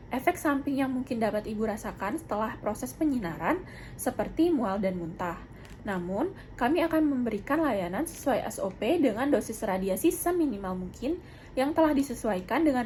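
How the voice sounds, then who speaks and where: Young woman instructor, higher voice, noisy recording. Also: darkish tone. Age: young adult. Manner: formal, polite, methodical. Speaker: dental student demonstrating a procedure. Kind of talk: instructional narration with role-played patient consultation. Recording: clearly noisy amateur video sound.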